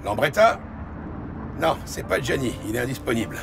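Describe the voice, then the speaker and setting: A man's voice: medium pitch, big pitch swings, normal speed, creaky, noisy background. A man playing a corrupt, mocking police lieutenant in a French audio crime drama, menacing behind false friendliness. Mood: cold, mocking. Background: loud music.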